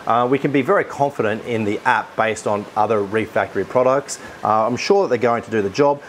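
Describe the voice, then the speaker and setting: A man's voice: mid-range voice, slight background noise. An Australian man hosting a product unboxing. He talks with enthusiasm and often restarts his sentences with fillers. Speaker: aquarium shop host.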